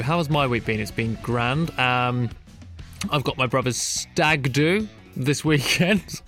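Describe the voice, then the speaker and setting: A man's voice: medium pitch, fairly bright tone. A young British man hosting a nature podcast in a chatty, self-mocking way, laughing at his own slips. He sounds bunged up from a cold. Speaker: podcast host. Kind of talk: casual chat.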